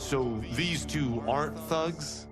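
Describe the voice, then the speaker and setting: An actor playing an eager, cocky young martial arts student in a video game. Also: male voice, on the high side, slow pace, loud music behind the voice. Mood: eager, incredulous. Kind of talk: video game cutscene acting.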